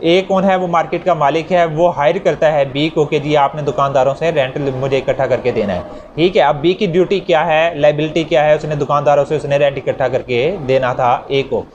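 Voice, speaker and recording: Man, Pakistani accent, slightly high voice, didactic lecturer, repeats points, works through examples, faint background noise. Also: fairly even intonation, fairly quick, darkish tone, dull audio. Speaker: law lecturer. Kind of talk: explanatory lecture with worked examples.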